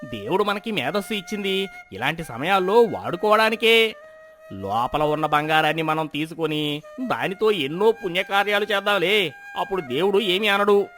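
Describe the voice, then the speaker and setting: An actor playing a greedy, stubborn village husband in a dramatized moral story, speaking in an emphatic, theatrical way. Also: male voice, high-pitched, expressive, moderate pace, fairly bright tone. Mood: greedy, dismissive. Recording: music behind the voice.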